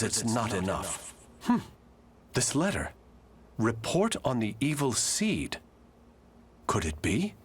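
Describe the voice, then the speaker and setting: Refined, expressive nobleman swordsman. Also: on the low side. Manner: formal, eloquent, measured diction. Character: refined, devoted swordsman nobleman, courteous yet scheming. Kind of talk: video game cutscene acting.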